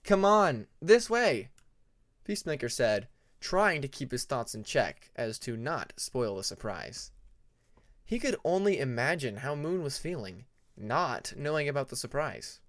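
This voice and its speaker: A man reads scripted fantasy fiction as a narrator. His voice sits at a middle pitch and moves expressively up and down. The recording is dry, with little room sound. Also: sing-song, a little slow, fairly bright tone, dry recording. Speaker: audiobook narrator of fan fiction.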